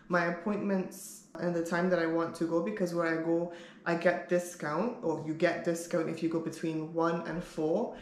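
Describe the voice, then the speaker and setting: Lower-pitched woman vlogger in an echoey room. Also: darkish tone. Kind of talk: casual chat to camera. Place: bare-walled room at home, indoors. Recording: roomy echo, clear background noise.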